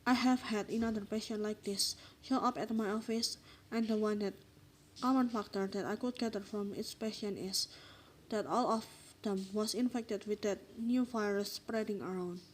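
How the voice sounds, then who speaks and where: A woman playing a formal, apologetic psychiatrist in an amateur radio drama, with a measured delivery. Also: medium pitch, slight background noise, natural voice. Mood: grave.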